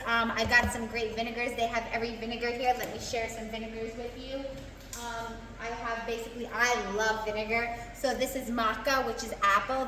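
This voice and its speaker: An American woman talks through her homemade dishes in a level, even voice that barely rises or falls, padding her lists with fillers. She sounds set back from the mic in a bare room with a long, lingering echo and a faint hum of ambience. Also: fairly high pitch, distant mic. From United States. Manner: rambling, many fillers, lists items slowly. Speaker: health vlogger. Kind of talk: casual food vlog. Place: home kitchen abroad, no air conditioning.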